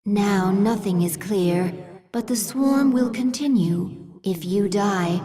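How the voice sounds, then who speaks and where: An actress playing a calm, devoted alien advisor creature who speaks in a measured, solemn way in a game cutscene. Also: female voice, mid-range voice, natural voice. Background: music.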